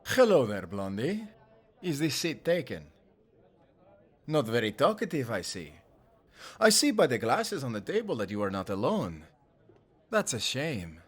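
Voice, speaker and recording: A smug Spanish-accented charmer sliding onto the next bar stool in an audio drama, acted by a man, formal, flirtatious and condescending, his pitch gliding up and down. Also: big pitch swings, measured pace, long pauses. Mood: smooth, flirtatious. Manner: formal, smooth-talking, condescending. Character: suave, smug Spanish gentleman, flirtatious wannabe warlock. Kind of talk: audio roleplay acting.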